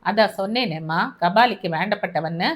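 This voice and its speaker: Woman doing a soft-spoken policeman. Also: medium pitch, normal speed, natural voice. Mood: consoling. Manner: soft, gentle, consoling. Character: calm, polite male police officer in plain clothes.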